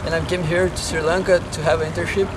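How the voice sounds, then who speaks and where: A man's voice: a little high, little pitch movement, fast, very noisy. Man from Brazil, distant and casual. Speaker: international intern. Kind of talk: casual vlog interview.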